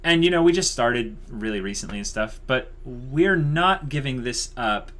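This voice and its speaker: Male host, average pitch, casual unscripted talk, enthusiastic, emphatic, informal. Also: lively intonation, normal speed, fairly bright tone. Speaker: host of an online channel. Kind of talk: casual unscripted channel update.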